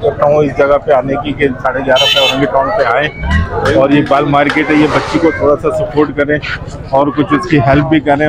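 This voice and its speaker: A middle-aged man from Karachi guiding a street vlog, pointing out stalls and food. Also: fairly high pitch. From Karachi, Pakistan. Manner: tour-guide pointing out sights, warm religious phrases. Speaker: vlogger, father. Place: busy outdoor market street at night. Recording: loud street and traffic noise.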